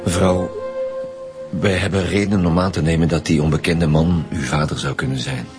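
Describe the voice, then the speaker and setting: A man with a Flemish accent playing a gruff, teasing homicide commissioner in a colloquial radio drama. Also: sits low, steady tone, brisk, over music, close to an everyday voice. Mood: formal. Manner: colloquial, wry. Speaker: police commissioner.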